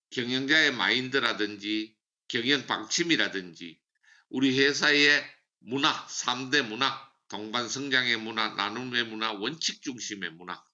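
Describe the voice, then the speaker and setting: A man's voice: medium pitch, bright, forceful tone, muffled recording. A man giving a motivational sales training lecture, emphatic and repeating key slogans. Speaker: seminar speaker for a sales business.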